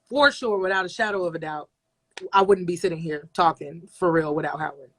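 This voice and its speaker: A young adult woman, a radio personality, talking in a relaxed interview and telling her story with emphasis, often repeating phrases for effect. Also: lowish voice, a little fast. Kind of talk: casual interview conversation.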